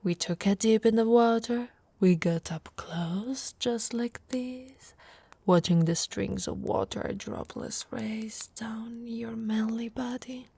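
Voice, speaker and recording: A woman's voice: fairly high pitch, slow pace, vocal fry, warm tone, breathy edge, no room sound, full character voice. A woman from Italy voicing an affectionate, flirty orc warrior wife in an intimate ASMR roleplay, teasing and often dropping toward a whisper. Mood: tender, intimate.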